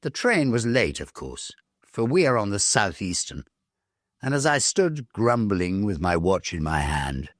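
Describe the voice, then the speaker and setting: A man reading a Victorian story aloud, measured and expressive, with dramatic pauses. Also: lowish voice, no room sound, clean studio sound. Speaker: story reader, narrator. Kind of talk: dramatic literary narration of a Victorian ghost story.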